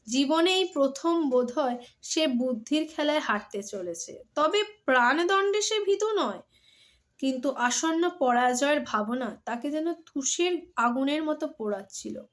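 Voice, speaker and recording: A woman's voice: sits high, lively intonation, unhurried. A woman telling stories expressively and chatting casually to listeners. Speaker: storyteller and host of a story-reading channel. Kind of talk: expressive storybook narration and casual chat to viewers. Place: at home.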